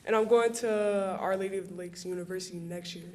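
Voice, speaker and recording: A young woman from the American Midwest giving a halting, slangy, informal banquet speech as a college athlete. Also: fairly low pitch, steady tone, darkish tone. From Chicago, USA. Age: young adult.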